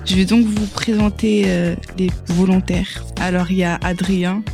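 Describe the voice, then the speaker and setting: An eighteen-year-old woman hosts a youth radio slot and puts simple questions to her peers. Her tone is mellow and dark. Quiet music plays beneath her. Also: fairly low pitch, little pitch movement, normal speed, gravelly. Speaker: young radio presenter. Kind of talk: hosting a youth radio segment.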